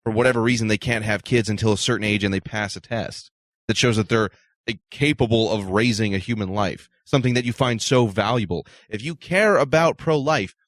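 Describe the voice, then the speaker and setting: A man's voice: on the low side, fairly quick, dry recording. Assertive man debating, close dry sound. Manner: assertive, argumentative. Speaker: talk show co-host. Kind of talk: casual opinionated debate.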